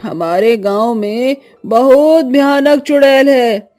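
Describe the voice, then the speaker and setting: A woman's voice: high voice, slow pace, dark tone. A woman playing an anxious, caring village mother in a horror story, speaking in an earnest, cautioning way. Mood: grave warning.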